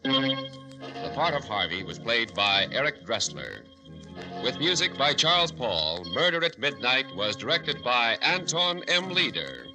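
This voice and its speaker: A man's voice: medium pitch, wildly swooping pitch, unhurried, poor audio. A man playing an ominous, dramatic announcer of a 1940s-style radio murder mystery. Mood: formal. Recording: old radio broadcast with loud music. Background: loud dramatic music.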